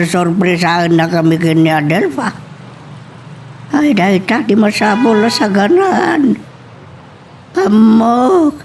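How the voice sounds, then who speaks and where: Slow-talking Ilocano office staffer played by a woman. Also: medium pitch, a little mellow. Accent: Ilocano Filipino. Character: familiar, talkative office staffer addressing the boss. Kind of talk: amateur audio drama dialogue. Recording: faint background noise.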